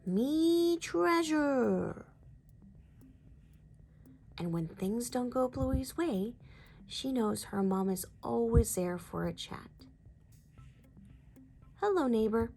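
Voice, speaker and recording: A woman's voice: mid-range voice, a little slow, gravelly, dark tone, soft background music. A woman reading a children's storybook aloud as scripted narration.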